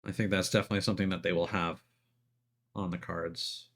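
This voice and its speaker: Low-pitched man streaming a video game. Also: little pitch movement, brisk, fairly bright tone. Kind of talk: casual livestream gameplay commentary, explaining to chat. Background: music behind the voice.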